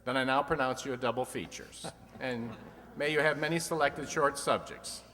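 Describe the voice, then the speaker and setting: A wry, teasing quiz show host played by a man quips his way through an old-time radio comedy program. His medium-pitched voice rings in a long hall reverb. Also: moderate pace, bright, forceful tone, lightly acted. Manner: wry, quipping. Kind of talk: 1940s-50s comedy radio quiz re-enactment.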